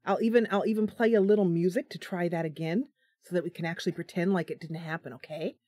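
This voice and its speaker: A woman's voice: lowish voice, fairly even intonation, quick pace, warm tone, dry recording. A tired woman streaming live from her home computer, chatting casually, laughing at her own slip-ups. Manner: chatty, giggly, self-deprecating.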